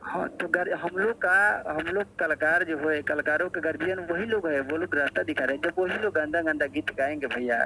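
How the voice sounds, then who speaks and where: A man from Bihar with a Bihari accent, defending himself in a halting, repetitive way on a phone call. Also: a little high, sharp tone. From Arrah, Bihar, India. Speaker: singer being interviewed. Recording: noisy phone call recording.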